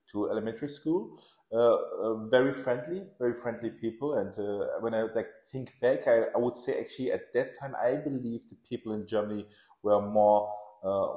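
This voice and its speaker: Low-voiced man born in Iran. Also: roomy sound. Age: 40s. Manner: relaxed, restarts often, laughs while telling stories. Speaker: podcast guest, lawyer. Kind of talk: casual interview.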